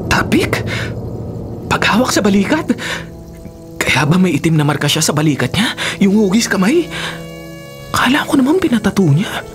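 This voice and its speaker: Anxious young friend, animated pitch. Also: male voice, normal speed, long pauses, over music. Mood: puzzled. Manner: hesitant, fretful. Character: anxious young man, worried loyal friend, unsettled by superstition. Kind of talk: radio drama acting.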